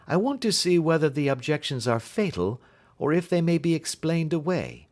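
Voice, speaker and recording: A man's voice: on the high side, big pitch swings, moderate pace, a little mellow, pitched above the performer's own voice. A man voicing a cool, keen detective in an audiobook, reasoning precisely and staying blandly unflappable. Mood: decisive. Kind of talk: audiobook character acting.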